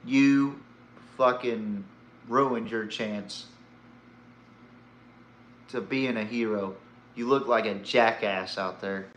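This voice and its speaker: A man delivers casual sports commentary as a podcast host in an echoey room. His voice is bright and forceful, and he talks at an unhurried, slow pace. He is blunt, swears, and leans on rhetorical questions to make his points. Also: lowish voice, steady tone, a little hiss or hum.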